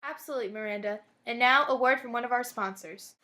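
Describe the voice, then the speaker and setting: A young woman reads scripted news as co-anchor of a 1950s-style student broadcast. She moves along quickly in a fairly high voice. The read sounds rehearsed. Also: pushed and bright, slight background noise.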